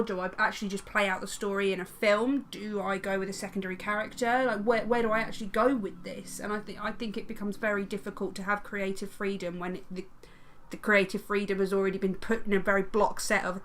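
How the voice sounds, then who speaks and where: A woman's voice: medium pitch, level tone, fast talker. Woman, casual panel chat, opinionated, emphatic, faint background noise. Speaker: podcast panelist.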